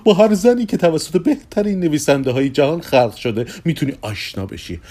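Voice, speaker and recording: A man's voice: on the high side, expressive, mellow. A man doing an eccentric, chuckling magician. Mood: scheming, persuasive. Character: eccentric small thin male stage magician. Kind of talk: audio drama acting.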